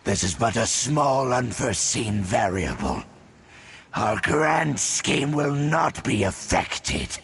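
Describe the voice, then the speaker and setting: A man voicing a cold, menacing scheming male villain in a video game, with a gravelly voice. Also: medium pitch, sing-song, takes it slowly, fairly bright tone, a little hiss or hum, exaggerated voice.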